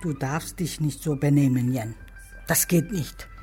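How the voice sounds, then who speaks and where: A woman's voice: low-pitched, unhurried, dark tone, soft background music. An actress playing a proud, insistent middle-aged mother of a grown son in a radio crime drama.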